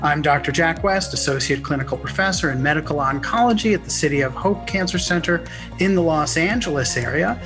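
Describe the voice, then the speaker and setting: A man co-hosts a podcast, reading his introduction in a somewhat high voice. Clear music plays behind him. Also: fairly quick. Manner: scripted introduction, then casual chat. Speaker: podcast co-host, oncologist. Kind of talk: podcast hosting. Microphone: video call.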